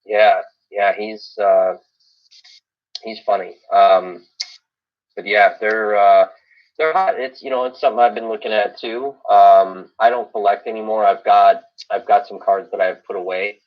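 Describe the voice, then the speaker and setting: Lowish American man on dull stream audio. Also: steady tone, a little slow. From Illinois, US. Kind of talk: casual chat.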